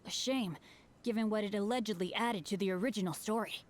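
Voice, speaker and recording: A courteous young swordsman and book lover from a game, voiced by a woman, his voice fairly light and high. He speaks in polished, formal phrases. A faint noise lies under the voice. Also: a little fast, close to an everyday voice. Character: polite young male swordsman bookworm. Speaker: game character.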